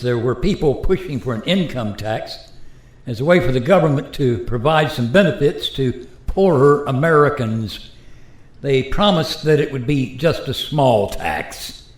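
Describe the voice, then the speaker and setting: A man plays a resigned, embarrassed modern everyman in a radio play. His mid-pitched voice explains hesitantly, with lively swings in intonation and a clear room echo. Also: natural voice. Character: sheepish modern American man named George, resigned. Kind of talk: amateur radio play acting. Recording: roomy, echoey voice recording.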